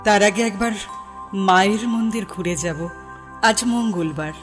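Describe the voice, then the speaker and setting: An actress playing a middle-aged woman, a soft and wistful lover, in a radio drama. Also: medium pitch, a little slow, faint music behind, lightly acted. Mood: tender.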